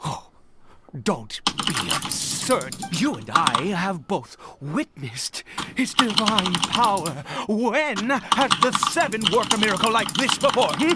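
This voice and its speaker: An unhinged father from a video game, doting yet sinister and fervent. His pitch swoops widely and his theatrical speech comes slowly, in halting, stammered pieces. Loud keyboard clicking and noise run over the voice. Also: big pitch swings, heavily acted. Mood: gleeful, fervent. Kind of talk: dramatic video game voice acting.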